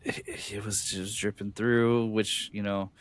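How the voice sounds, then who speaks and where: A man's voice: fairly low pitch, little pitch movement, measured pace, dry recording. A man co-hosting a casual film review podcast, rambling with many restarts. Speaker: podcast co-host.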